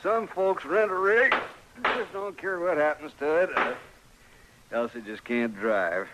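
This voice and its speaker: A man's voice: on the high side, a little slow, close to an everyday voice. A man playing an easygoing, plainspoken Old West stableman with a drawl in a radio western. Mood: amiable, teasing. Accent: American Western drawl. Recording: faintly noisy vintage radio recording.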